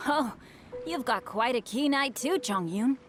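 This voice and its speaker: A woman's voice: sits high, expressive, measured pace, slight background noise. A woman voicing a polite, chivalrous teenage boy of a wealthy house in a video game, with flowery, courtly speech. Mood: modest, pleased. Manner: polite, flowery, smooth-tongued. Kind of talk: English video game voice acting.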